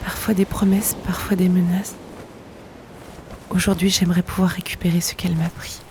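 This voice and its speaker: Woman playing a hushed, grieving, wistful woman. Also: lowish voice, flat delivery, moderate pace, a little hiss or hum, natural voice. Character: grieving, wistful woman searching the sea for her lost brother. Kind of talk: French audio drama acting.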